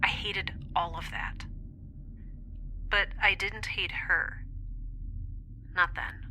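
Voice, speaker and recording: A woman's voice: medium pitch, steady tone, slow pace, pushed and bright, slight background noise. A woman playing an anxious young scientist in an audio drama, thinking aloud in a hesitant, confessional monologue. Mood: haunted, reflective. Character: young woman scientist, anxious, introspective, rambling recorded diary.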